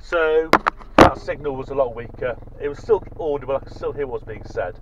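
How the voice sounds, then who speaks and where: A man's voice: mid-range voice, fairly quick. A British man in his forties giving casual, off-the-cuff commentary as he drives and tests radios. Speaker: vlogger testing two-way radios. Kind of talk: casual vlog commentary while driving. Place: inside a car. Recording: dull sound, loud car and road noise. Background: car engine and road noise.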